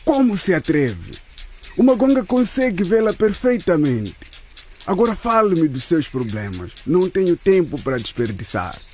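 A grand, impatient fortune-teller in a radio play. His voice sits high for a man and swings widely in pitch. He takes his time over each pronouncement, full of self-importance, with faint ambience behind him. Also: very animated, normal speed, darkish tone, slight background noise. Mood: indignant, pompous. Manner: pompous, refers to himself in the third person. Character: haughty male fortune-teller, theatrical and impatient. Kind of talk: radio drama acting.

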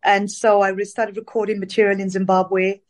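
A middle-aged woman from Zambia, an interview guest, talking through her career in a relaxed, reflective way with many fillers. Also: mid-range voice, normal speed. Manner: warm, reflective storytelling with fillers. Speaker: interview guest, dance singer. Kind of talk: casual interview conversation.

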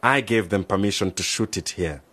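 A man's voice: on the low side, moderate pace, a bit bright. A man acting in a radio drama as a genial, helpful institute director, formal and patient as he explains things.

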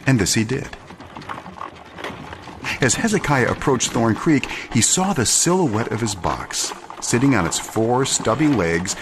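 A man giving a dramatic scripted story reading, measured and solemn, with deliberate pauses and expressive phrasing. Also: medium pitch, background noise. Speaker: storyteller, narrator.

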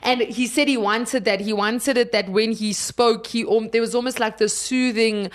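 A woman's voice: a little high, moderate pace. Chatty South African woman. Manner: chatty and animated, many restarts. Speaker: film podcast co-host. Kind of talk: casual film review chat.

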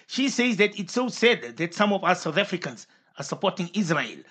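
A man's voice: high voice, big pitch swings, normal speed, fairly bright tone, husky. A man hosting a radio show, reading out listener messages and questions with brief affirming hums.

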